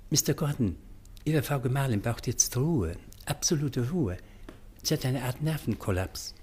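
A courteous family doctor in a radio crime play. His medium-pitched voice is husky and his delivery formal and businesslike. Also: animated, normal speed, darkish tone. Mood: polite, businesslike. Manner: polite, formal. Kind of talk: German radio crime drama acting.

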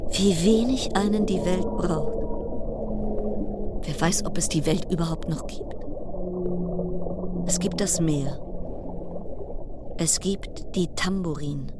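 An actress playing an uneasy, sardonic cruise ship passenger, narrating a German radio play in a wry, insistent way. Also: female voice, fairly low pitch, takes it slowly, long pauses. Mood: brooding. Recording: clear background noise and ambience.

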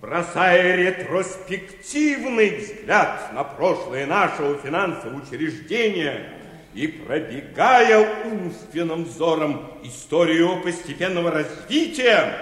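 An actor playing a ceremonious bank delegate in a comic radio play, delivering a solemn, florid oration. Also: male voice, high-pitched, fairly bright tone, exaggerated voice. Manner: solemn, oratorical. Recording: echoey hall, long reverb.

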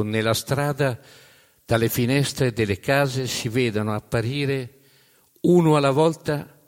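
A man narrating a story on stage in dramatic spoken-word style, emphatic, with deliberate pauses and repeated phrases. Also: medium pitch. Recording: reverberant hall live recording.

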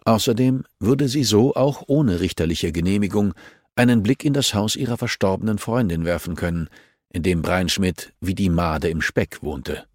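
A male audiobook narrator reads scripted prose from a novel. His voice is on the low side. The recording is dry, with very little room sound. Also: normal speed, darkish tone, dry recording.

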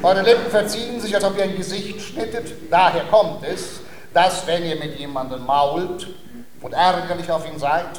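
An actor in a comic radio play, playing a patient, self-important language tutor who speaks in a precise, didactic and formal way. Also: male voice, high-pitched, normal speed, echoey room, lightly acted. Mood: patient instruction. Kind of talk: theatrical classic-comedy radio acting.

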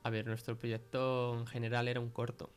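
Young guy from spain, casual podcast guest, kinda monotone n hesitant, quiet music under. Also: mid-range voice, normal speed. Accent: Spain Spanish. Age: young adult. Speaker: podcast guest, film producer. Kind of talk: informal testimony in conversation.

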